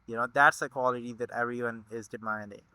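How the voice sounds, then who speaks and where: A man hosting a podcast interview, effusive and quick to exclaim his enthusiasm. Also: medium pitch, steady tone, pushed and bright, clear, resonant tone, no room sound, low-quality recording. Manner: effusive, exclaims wow often. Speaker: podcast host.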